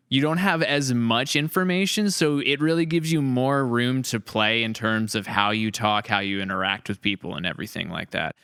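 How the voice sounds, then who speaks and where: A man's voice: medium pitch, fairly bright tone, smooth, no echo, studio quality. A man hosting a casual podcast interview, talking in a conversational, explanatory way. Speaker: podcast host and series creator.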